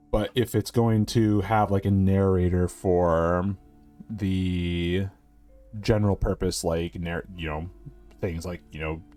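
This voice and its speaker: Deep voiced guy streaming a game, kinda low and mellow, chatty, reads stuff out loud. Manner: chatty, reads game text aloud between asides. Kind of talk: casual let's-play commentary.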